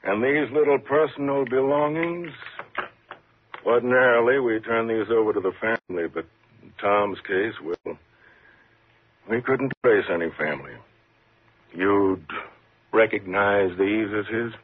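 Husky-voiced, patronizing prison warden. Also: male voice, on the low side. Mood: matter-of-fact. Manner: measured, reassuring, condescending. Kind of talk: 1950s radio thriller acting. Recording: muffled, lo-fi 1950s radio recording.

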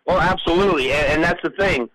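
A man in a casual sports radio discussion, talking haltingly and often repeating words as he works toward his point. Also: on the high side, a loud crowd, low-quality recording. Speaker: sports radio show panelist.

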